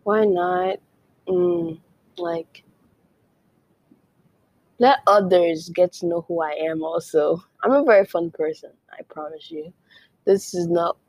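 A woman's voice: lowish voice, lively intonation, slow pace, pauses often, a little mellow, dry recording, poor audio. A young woman from Nigeria hosting her own podcast, chatting casually with many "like"s and playful whispered asides. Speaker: podcast host. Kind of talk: casual solo podcast chat.

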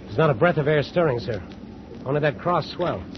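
Old muffled radio play, guy doing earnest first mate, slightly high male voice, forthright but respectful. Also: expressive, brisk, background noise, close to an everyday voice. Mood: concerned, respectful. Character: earnest, dutiful ship's first mate, outspoken but deferential. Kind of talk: 1940s radio drama acting. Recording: dull old radio recording with music.

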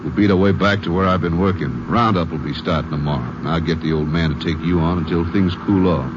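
A male actor voices a hard-bitten robber in a 1940s radio western, speaking low and curt. His threats come clipped and cold, and a loud car engine rumbles under the dull, lo-fi transcription. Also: a little fast, noisy background, close to an everyday voice. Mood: menacing.

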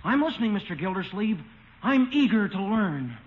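An actor playing an eager, admiring young man who flatters his mentor in a 1950s radio sitcom. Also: high-pitched, moderate pace. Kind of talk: 1950s American radio situation comedy acting. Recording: dull old radio recording, faint noise.

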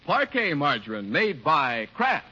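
A man's voice: fairly high pitch, wildly swooping pitch, unhurried. An American man working as a radio announcer, reading a script in a polished, emphatic 1950s sales style. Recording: muffled vintage radio recording. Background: music.

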